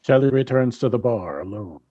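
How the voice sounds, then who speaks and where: A man's voice: medium pitch, lively intonation, brisk, dark tone, lightly acted. A man reading scripted stage directions as the narrator of a radio drama, on a dull, noisy lo-fi recording.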